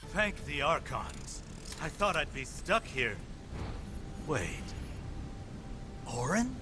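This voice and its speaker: A man playing a wry, warm-hearted godsmith in a video game, with an expressive, familiar, theatrical delivery. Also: fairly high pitch, slow, music in the background. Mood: relieved. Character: warm-hearted, wry male godsmith, loyal old friend.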